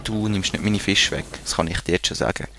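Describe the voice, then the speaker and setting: A man's voice: low-pitched, steady tone, fairly quick, bright, forceful tone, a little hiss or hum. A man with a Swiss German accent giving casual commentary while playing a strategy game, muttering to himself and talking to the units on screen. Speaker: let's play gamer.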